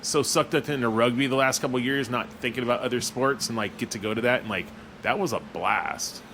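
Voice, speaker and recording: A man's voice: mid-range voice, a little fast, creaky, a bit bright, a little hiss or hum. A man co-hosting a podcast, interviewing a guest in a casual, upbeat and enthusiastic way. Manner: upbeat, enthusiastic, self-deprecating.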